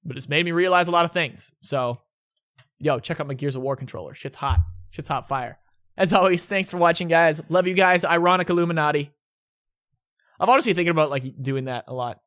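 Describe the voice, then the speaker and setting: Young male YouTuber, laid-back and self-correcting, bright tone, home vlog. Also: a little high, brisk. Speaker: YouTuber answering viewer questions. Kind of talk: casual vlog Q&A. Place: indoors at home.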